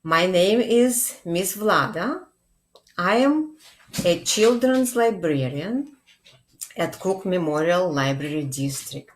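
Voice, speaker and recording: A woman in her forties, a children's librarian, telling a picture-book story to young children in a deliberate, expressive way with check-in questions. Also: lowish voice. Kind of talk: read-aloud storytime addressed to young children. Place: small indoor room, plain wall.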